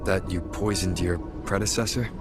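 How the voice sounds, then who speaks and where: Wary, low-voiced male adventurer played by a man. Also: fairly even intonation, normal speed, music in the background, lightly acted. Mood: skeptical. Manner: questioning, direct. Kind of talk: video game voice acting, scripted dialogue.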